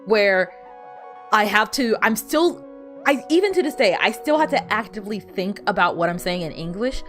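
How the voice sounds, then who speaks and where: A woman's voice: medium pitch, lively intonation, moderate pace, faint music behind. A woman whose first language is Spanish, chatting casually with viewers while she plays a video game, rambling and often restarting her sentences. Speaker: streamer.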